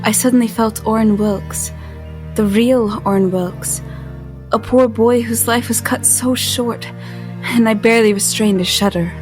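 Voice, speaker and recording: An actress playing an apprehensive but resolute heroine, narrating an audio drama in the first person in a measured way. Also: female voice, on the high side, fairly even intonation, close to an everyday voice. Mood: hushed, uneasy. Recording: music under the voice.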